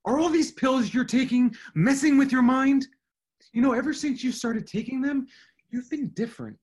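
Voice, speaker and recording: A man's voice: extremely high pitch, normal speed, darkish tone, breathy edge. A man playing a defensive, exasperated young husband in a radio drama. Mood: angry.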